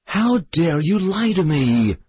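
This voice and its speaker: A man's voice: a little high, big pitch swings, slow pace, darkish tone, breathy edge, voice pushed up, full character voice. A man voicing a furious country widow who screams accusations at her son. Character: shrill furious Irish country widow, mother.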